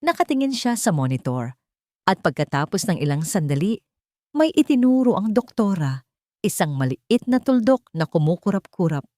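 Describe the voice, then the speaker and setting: A woman's voice: mid-range voice, sing-song, normal speed, mellow, no room sound, clean studio sound. A woman storyteller giving scripted, dramatic narration of a romantic radio drama.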